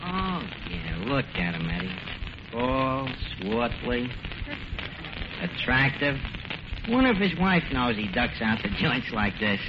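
An actor playing a folksy, word-mangling tavern manager, in a 1940s radio comedy style with hesitant, rambling patter. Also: male voice, medium pitch, big pitch swings, slow. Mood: wisecracking. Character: folksy malapropping tavern manager, breezy wisecracking middle-aged man. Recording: dull, very noisy old radio broadcast.

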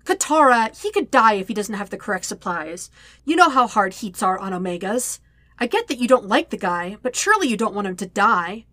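A woman's voice: mid-range voice, sing-song, fairly quick, pushed and bright, no room sound. A woman voicing an exasperated, dutiful young man in a story reading, emphatic and sarcastic. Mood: urgent, resolute.

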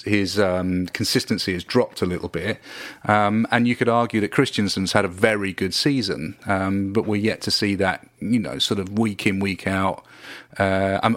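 A man co-hosting a football podcast, with a low, steady voice. He talks in a measured, deliberate way and fills his pauses with hesitant ums. The sound is dry and close, with no room echo. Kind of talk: casual chat.

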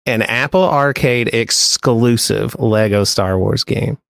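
A man's voice: medium pitch, slow pace, creaky, a bit bright, no room sound, studio quality. A man giving a casual news segment as a co-host on a pop culture podcast.

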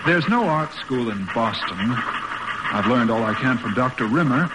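An earnest, modest young American man, played by an actor in a classic radio play. His delivery is boyish and polite, with animated pitch movement. The old recording carries clear background noise. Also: moderate pace, close to an everyday voice. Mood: eager, hopeful. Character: earnest, respectful, modest young son and budding sculptor.

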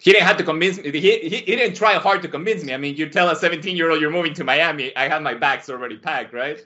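Male podcast guest from Mexico: bright, animated storyteller, slightly lo-fi sound. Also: a little high, fast. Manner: animated, acts out quotes with a raised voice. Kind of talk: casual storytelling. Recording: dull lo-fi sound.

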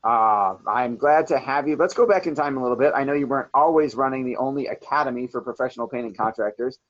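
A man's voice: mid-range voice, fast talker, no echo, lo-fi sound. A man hosting an interview, upbeat and effusive, asking his guest questions. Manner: upbeat, effusive, frequent "awesome". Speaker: podcast host.

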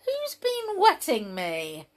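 A woman's voice: sits high, wildly swooping pitch, slow pace, a little mellow, voice pushed up, full character voice. A woman voicing a cross, hungry young male pixie in a storybook reading. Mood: grumpy. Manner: grumpy.

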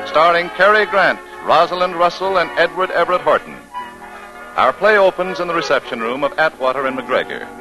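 A man's voice: on the high side, rough recording. A man announcing a 1940s radio show in a formal, declamatory style. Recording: old radio broadcast with music.

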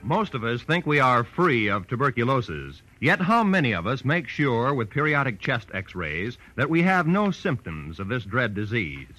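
Bright, animated American radio announcer man. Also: mid-range voice, no room sound, soft background music, rough recording. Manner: formal, measured, polished. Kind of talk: 1940s network radio announcing, scripted.

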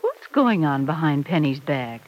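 A woman's voice: low voice, very animated, measured pace, low-quality recording. An American actress playing an affectionate, teasing middle-aged mother in an old-time radio serial. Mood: concerned. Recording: vintage radio recording.

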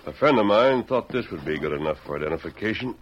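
An American actor playing a steady, resolute western hero in old-time radio style, with measured, firm and terse delivery. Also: male voice, slight background noise, lightly acted. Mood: earnest, resolute. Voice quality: deep, husky. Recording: dull, lo-fi old radio recording.

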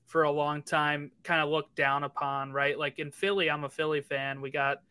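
Bright-voiced man hosting a podcast. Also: a little high, little pitch movement, normal speed, smooth, dry recording. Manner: conversational, checks in with "right?". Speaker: podcast co-host. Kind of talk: casual chat, asking questions.

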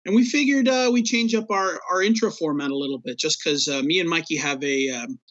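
A man's voice: on the high side, brisk, fairly bright tone, no room sound, muffled recording. A man co-hosting a hockey podcast, chatting casually with lots of fillers and a laid-back, rambling manner. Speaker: podcast co-host.

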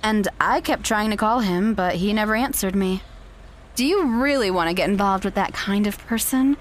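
Brief: woman playing manipulative young ex-fiancée, condescending, mid pitch. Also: normal speed, close to an everyday voice. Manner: condescending, dismissive. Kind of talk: audio drama acting.

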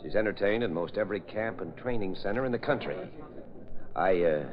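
An actor playing a modest, courteous, easygoing army major in an old radio drama. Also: male voice, fairly low pitch, brisk, close to an everyday voice. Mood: amused. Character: modest, genial army major, a war hero. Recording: dull old radio recording.

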